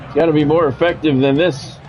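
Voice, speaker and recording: A man's voice: fairly high pitch, fairly even intonation, fast, darkish tone. An American man doing play-by-play commentary on a football game in short, clipped announcer phrases. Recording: dull lo-fi sound, music behind.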